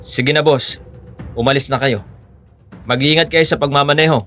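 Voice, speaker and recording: A man playing a stern, methodical police officer in an audio drama, speaking formally and with measured authority. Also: mid-range voice, fairly even intonation, bright, forceful tone, soft background music, natural voice. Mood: relenting. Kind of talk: audio drama acting in Tagalog.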